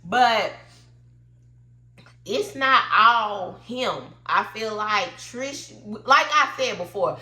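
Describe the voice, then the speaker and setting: A woman's voice: a little high, sharp tone, roomy sound. Stuffy-nosed woman chatting about reality TV. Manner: chatty, opinionated, loose and informal. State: congested, stuffy nose. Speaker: reality TV recap host. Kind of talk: casual commentary.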